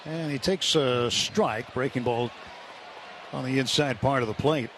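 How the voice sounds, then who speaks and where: A man's voice: medium pitch, measured pace, fairly bright tone. An American man calling live baseball play-by-play for a radio broadcast. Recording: radio broadcast over clear stadium noise. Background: ballpark ambience and crowd noise.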